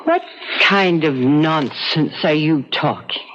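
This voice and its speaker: An actress playing a devoted, gently insistent wife in an old-time radio drama, with coaxing delivery. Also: female voice, deep voice, slow pace, dull audio, slight background noise. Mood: gently reassuring.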